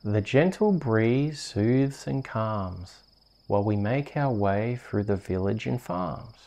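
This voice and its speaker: An Australian man with a mellow, slightly creaky voice reads a bedtime story for ASMR. His delivery is slow, soft and soothing, as a host settling listeners down for sleep. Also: lowish voice, animated. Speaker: host reading bedtime stories on a video channel.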